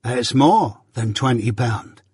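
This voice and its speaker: A man voicing a simple, kindly country working man who speaks slowly and haltingly with rustic grammar in a storybook. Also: medium pitch, animated, darkish tone, slightly rough, dry recording, studio quality. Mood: quietly delighted.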